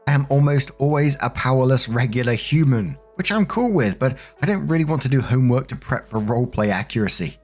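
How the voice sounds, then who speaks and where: A man's voice: medium pitch, lively intonation, fairly quick, hardly any pauses, a little mellow, soft background music. A man reading online stories aloud in a chatty, conversational way, with casual commentary as the host.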